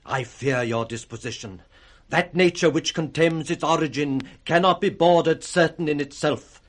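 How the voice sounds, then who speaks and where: An actor playing a grave, morally outraged noble husband with a British accent, declaiming in 1960s radio Shakespeare style. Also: male voice, on the high side, moderate pace, lightly acted. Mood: grave reproach.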